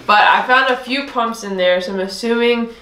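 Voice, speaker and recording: A woman's voice: on the high side, normal speed. A woman chatting casually, unsure of herself, going over items she found. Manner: hesitant, with many ums and "I don't know". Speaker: co-host reselling storage unit finds. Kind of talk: casual chat. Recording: echoey room with faint noise.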